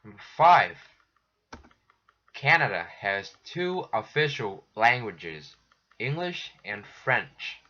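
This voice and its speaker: Slow, patient guy teacher checking answers, bright tense voice, kinda lo-fi recording. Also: mid-range voice, very animated. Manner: patient, slow, restarts often. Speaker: English teacher. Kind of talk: recorded textbook lesson walkthrough. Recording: dull lo-fi sound.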